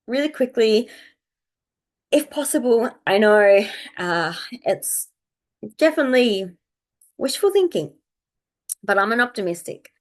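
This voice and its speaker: Croaky woman chatting casually. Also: on the high side, big pitch swings, unhurried, pauses often. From Australia. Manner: chatty, rambling, thinking aloud. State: croaky from a cold. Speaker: astrology video host. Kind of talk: casual unscripted chat to viewers.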